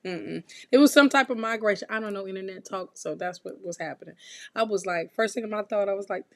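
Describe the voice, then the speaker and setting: A hoarse woman with a raspy voice, chatting casually and laughing on a live stream, calling people honey. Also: medium pitch, fast, a bit bright. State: hoarse, recovering from illness. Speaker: tarot reader co-host. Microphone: desk mic for live stream.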